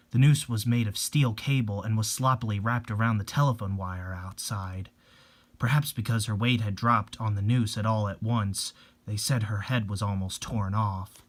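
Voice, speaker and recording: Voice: mid-range voice, voice pushed down, close to an everyday voice. A performer voicing a frightened teenage schoolgirl who narrates a horror manga, shaken and protective of friends. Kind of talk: dramatic reading of a horror manga.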